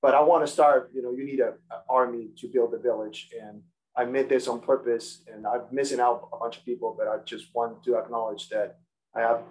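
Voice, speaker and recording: A man who grew up in Peru gives an academic seminar over a video call. His delivery is halting, full of restarts and repeated words as he works through his points. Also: mid-range voice, steady tone, a little mellow, rough recording. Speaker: seminar speaker, entomologist.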